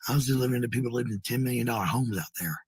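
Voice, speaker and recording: A man with a raspy, gravelly voice in casual, rambling chat, blunt and swearing freely. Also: medium pitch, rapid-fire, no room sound.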